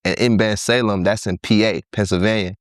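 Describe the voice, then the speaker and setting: A man's voice: lowish voice, fairly even intonation, fairly quick, no echo, studio quality. A man in his thirties from Liberia, a podcast guest telling his life story in a laid-back way and laughing easily. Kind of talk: casual interview conversation.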